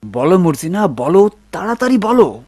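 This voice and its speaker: A man playing an anxious, excitable, inexperienced young son in a cartoon, full of questions. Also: sits high, normal speed, no room sound. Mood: alarmed. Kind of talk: animated cartoon dub acting.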